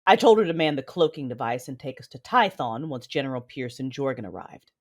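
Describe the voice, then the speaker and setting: An actress playing a vengeful female Sith lord, narrating her own story in an audio drama with intense, deliberate delivery. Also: a bass voice, expressive. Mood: grim resolve. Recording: music behind the voice.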